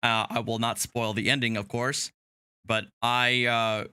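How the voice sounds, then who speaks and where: Bright, forceful man hosting a livestream. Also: medium pitch, little pitch movement, smooth, dry recording. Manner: halting, hesitant delivery with trailing asides. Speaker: livestream host. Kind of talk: casual livestream chat.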